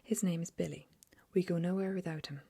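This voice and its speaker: Woman doing a polite sensible giraffe lady, soft breathy whisper, storybook. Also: fairly low pitch, fairly even intonation, fairly quick. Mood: calm.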